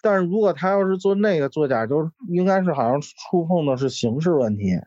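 A man's voice: a little high, animated, fairly quick, mellow, no room sound. A man in his thirties chatting casually as a podcast guest, blunt, opinionated and sweary, on a slightly muffled recording. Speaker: podcast guest with workplace experience. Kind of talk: casual chat.

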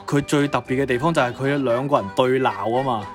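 A man's voice: mid-range voice, fairly even intonation, a little fast. A man, co-hosting a radio show, chatting in an amused, detailed way about old songs. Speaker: radio co-host. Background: music playing behind.

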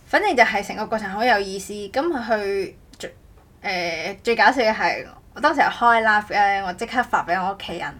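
A woman co-hosting a casual podcast, telling stories in an animated, rambling way. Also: medium pitch, moderate pace. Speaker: podcast co-host. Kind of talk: casual chat.

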